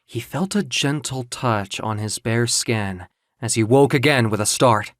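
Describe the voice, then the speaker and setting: A man's voice: medium pitch, unhurried, no room sound, clean studio sound. A man narrating a scripted story in a theatrical, suspenseful way.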